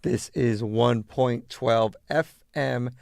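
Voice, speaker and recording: A man's voice: medium pitch, steady tone, slow, gravelly, darkish tone, no echo, clean studio sound. A man from Southern California hosting as a radio disc jockey, chatting in a laid-back, rambling, hesitant way. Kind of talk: laid-back radio chat between records. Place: garage.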